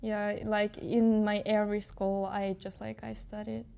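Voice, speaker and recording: A woman's voice: mid-range voice, level tone, measured pace, gravelly, darkish tone, clean tone. A young woman from Kyrgyzstan with a Russian accent, a student guest speaking hesitantly and searching for her words. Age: young adult. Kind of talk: casual interview chat.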